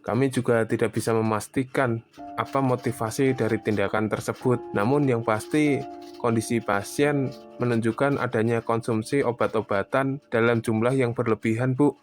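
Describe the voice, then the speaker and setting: A man voicing a calm, sympathetic doctor with a formal, measured manner in an animated drama. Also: medium pitch, soft background music, close to an everyday voice. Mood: sympathetic, solemn. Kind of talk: animated horror drama dubbing.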